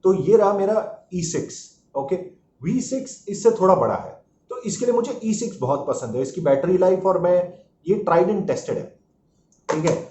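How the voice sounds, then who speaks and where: A man's voice: high voice, expressive, unhurried, warm tone. A man giving a casual, informal product review as a vlogger, mixing English phrases into his explanations. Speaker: motorcycle vlogger reviewing gear. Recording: echoey room, dull muffled sound, faint noise.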